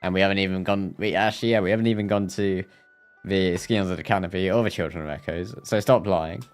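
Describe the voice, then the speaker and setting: A man's voice: deep voice, steady tone, fast. A man livestreaming a game, reading dialogue aloud and commenting jokingly, sometimes stumbling over the lines. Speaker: live streamer. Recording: game music behind.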